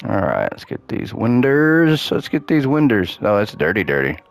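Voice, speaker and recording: Low-voiced man with a cracking voice. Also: very animated, normal speed, vocal fry, soft background music. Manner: chatty, upbeat, thinks aloud while playing. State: losing his voice. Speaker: gaming channel host. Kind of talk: casual gameplay commentary.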